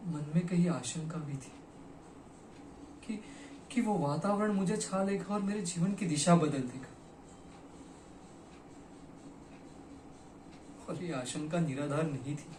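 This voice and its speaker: A man performing a theatrical monologue as a broken, regretful poet, speaking dramatically and deliberately with weighted pauses. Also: on the high side, darkish tone, close to an everyday voice. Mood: pensive, regretful, then agitated, bitter. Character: broken, regretful poet confessing to his lost beloved. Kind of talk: theatrical Hindi stage monologue. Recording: echoey room, distant mic, faint noise.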